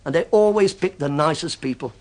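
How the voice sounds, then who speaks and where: A man's voice: fairly high pitch, a little fast, lightly acted. A man acting in a British radio play as a middle-aged police inspector who rambles deferentially and slyly plays the bumbler. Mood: mock innocent. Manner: rambling, deferential, digressive needling. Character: chatty, falsely bumbling, sly middle-aged male police detective inspector. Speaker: actor in a radio drama.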